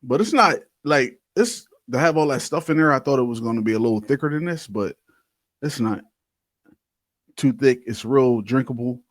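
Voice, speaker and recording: Male podcast co-host, mid-pitched, slangy casual banter, very dry close recording. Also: animated, normal speed, no room sound. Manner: informal, slangy, swears casually. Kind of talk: casual banter and beer tasting chat.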